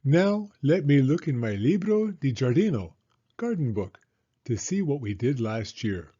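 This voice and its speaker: A man voicing a kindly old woman, a gentle, orderly storybook gardener who teaches. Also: mid-range voice, very animated, unhurried, breathy edge, highs cut off. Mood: orderly, instructive.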